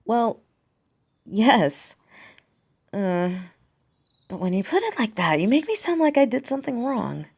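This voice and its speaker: A woman's voice: on the high side, sing-song, unhurried, long pauses, darkish tone, slightly rough, full character voice. A woman playing a haughty, mocking dragon princess, a destructive little sister, in an ASMR audio drama. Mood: mock innocent. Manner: sarcastic, drawling, taunting.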